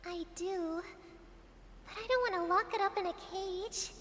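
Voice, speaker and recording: A woman voicing a gentle, earnest little girl, a younger sister, in a video game. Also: extremely high pitch, normal speed, slight background noise. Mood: concerned, earnest. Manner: soft, childlike, earnest. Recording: long reverb, music underneath.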